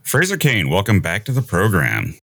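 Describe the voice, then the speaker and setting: A man hosting a conversational science interview in a measured, musing way. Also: low-pitched, huge pitch swings, gravelly, pushed and bright, slightly rough, no echo. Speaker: podcast host interviewing a guest.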